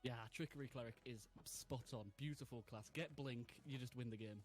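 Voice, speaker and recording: A man's voice: medium pitch, brisk, faint music behind. A man in his twenties chatting casually and laughing often while streaming a game. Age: 20s. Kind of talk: casual gaming chat.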